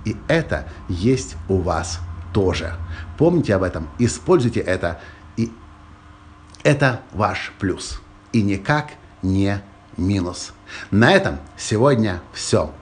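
A man's voice: on the low side, lively intonation, slow, slight background noise. A man with a Russian-Ukrainian accent hosting a motivational podcast, talking straight to listeners and repeating his key phrases for emphasis. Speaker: podcast host.

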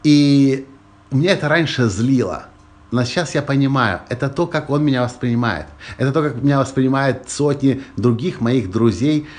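Brief: male podcast host, Russian-Ukrainian accent, emphatic motivational monologue, medium pitch. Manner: emphatic, repeats key phrases, small restarts.

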